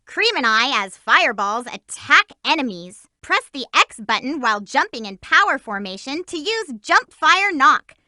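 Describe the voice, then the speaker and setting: A peppy young hedgehog heroine, bossy and bubbly in a video game. Her voice sits high and swoops up and down with lots of life. It comes across dry and close, with no room around it. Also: big pitch swings, normal speed, few pauses, bright, forceful tone, no echo, heavily acted. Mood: instructive. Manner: bubbly and bossy, chirpy exclamations. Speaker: voice actress. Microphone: studio mic.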